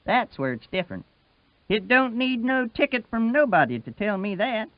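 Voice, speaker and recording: High-pitched old Southern rustic. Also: male voice, sing-song, no room sound, lo-fi sound. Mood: devoted, reverent. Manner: rustic dialect speech, patient and slow.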